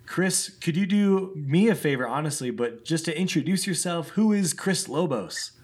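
A man's voice: fairly high pitch, animated, moderate pace, slightly rough. A man hosting a podcast who introduces his guest and asks questions in an upbeat, eager way. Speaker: podcast host. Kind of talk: podcast interview.

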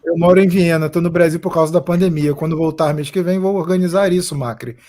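A man's voice: on the high side, fast, mellow. A Brazilian man hosting a casual live stream, chatting and reading out viewer comments.

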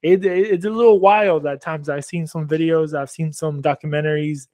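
A man's voice: a little high, steady tone, moderate pace, a little mellow, no echo, studio quality. A man from Argentina hosting a podcast, asking long, rambling questions that he corrects as he goes. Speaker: podcast host. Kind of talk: casual interview talk.

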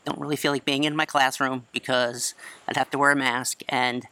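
A man in his forties, a high school English teacher, giving a chatty, self-assured class introduction outdoors in a courtyard. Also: level tone, moderate pace, bright, forceful tone, clean tone. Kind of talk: class introduction video lecture. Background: crickets and chirping.

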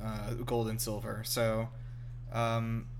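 A man's voice: fairly low pitch, monotone, takes it slowly, slight background noise. A man hosting a casual movie reaction show, chatty, informal and enthusiastic. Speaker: podcast host. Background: film music playing.

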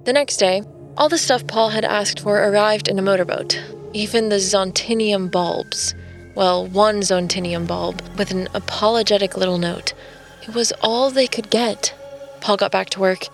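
Actress as easygoing modern American woman, mid-pitched, casual, informal, audio drama, faint music behind. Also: normal speed, creaky, natural voice. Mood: reflective storytelling. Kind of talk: audio drama dialogue and first-person narration.

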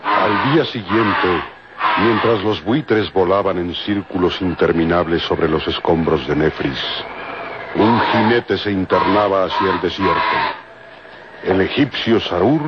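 A man's voice: sits low, a little slow. A man narrating a vintage radio serial in a dramatic, solemn and suspenseful scripted style. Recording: muffled, very noisy old radio recording.

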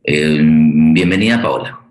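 Man, Colombian accent, bass-low pitch, forum host, unscripted halting delivery, reverberant room. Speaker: host of an online radio theatre forum. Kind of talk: unscripted spoken introduction to a live programme. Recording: roomy, echoing sound.

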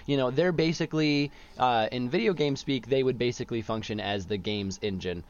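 A young man in casual chat as a podcast co-host who runs tabletop games, relaxed and rambling, with plenty of fillers and restarts. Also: mid-range voice, fairly quick, clean tone. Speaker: student podcast co-host, game master. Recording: dull lo-fi audio.

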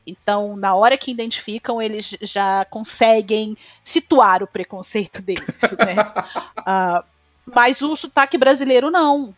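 Animated Brazilian woman chef, opinionated. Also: mid-range voice, a little slow, no room sound. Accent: Brazilian Portuguese. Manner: animated, opinionated, rising sharply when emphatic. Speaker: chef, guest. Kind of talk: casual conversation.

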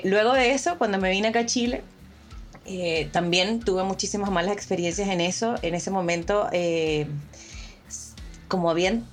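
A young Venezuelan woman talks in a relaxed panel discussion. She often stops and restarts her sentences, feeling her way through each thought. Faint music plays underneath her voice. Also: medium pitch. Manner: hesitant, many restarts and fillers. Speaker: panel guest.

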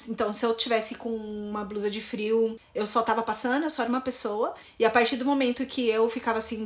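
A young woman from São Paulo with a São Paulo accent, telling relaxed travel stories as a podcast guest and laughing easily. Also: on the high side. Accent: Brazilian Portuguese, São Paulo. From São Paulo, Brazil.

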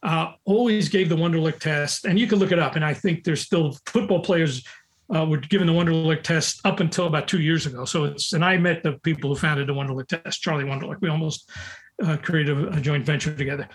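A man's voice: on the high side, fairly quick. An older man, a recruiter, chatting on a call and telling long, rambling anecdotes with frequent restarts. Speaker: recruiter, guest. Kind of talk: casual conversation on a call. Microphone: call audio.

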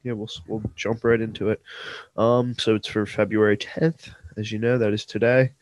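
A man's voice: fairly low pitch, little pitch movement, normal speed, darkish tone, dry recording. A young man with a stuffed-up, nasal voice, co-hosting a casual podcast and reading out history trivia in a rambling way. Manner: rambling, many fillers and restarts. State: stuffed-up nose. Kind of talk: casual sports podcast chat.

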